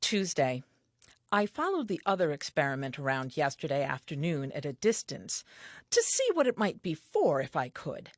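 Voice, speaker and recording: A woman's voice: low voice, wildly swooping pitch, normal speed, dry recording, clean studio sound, exaggerated voice. An actress playing a curious, earnest, newly created first woman, reading her diary aloud with naive, precise self-assurance in an audio play.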